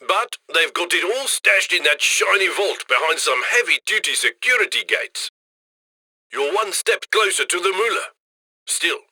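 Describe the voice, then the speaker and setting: A man's voice: high-pitched, pushed and bright, breathy edge, no room sound, exaggerated voice. A man playing a cheerful, cheeky heist handler who chummily guides a robbery crew in a video game. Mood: brisk, scheming. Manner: chummy, cheeky, colloquial, gleeful about loot. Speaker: mission handler. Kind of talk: video game mission voice lines.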